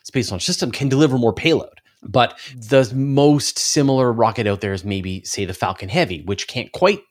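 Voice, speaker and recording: A man giving explanatory answers as an interview guest on a podcast, halting as he thinks aloud. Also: mid-range voice, animated. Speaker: interview guest, space commentator.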